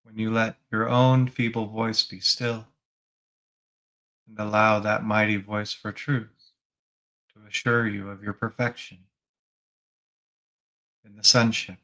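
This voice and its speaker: A man leading a guided spiritual meditation as a teacher, gently prompting the listener with reflective questions. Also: lowish voice, level tone, slow pace, pauses often, poor audio.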